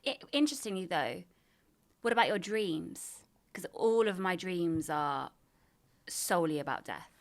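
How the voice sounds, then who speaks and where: Woman with a lowish voice, blunt casual podcast co-host who swears and stops and restarts mid-story. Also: animated. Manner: blunt and swearing, halting when telling a story. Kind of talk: casual chat.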